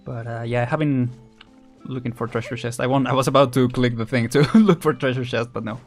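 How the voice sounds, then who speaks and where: Streamer: young adult male, mid-pitched, casual gameplay chatter, musing and hesitant with light amusement, soft game music underneath. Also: fairly even intonation, fairly quick. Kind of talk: casual live gameplay commentary.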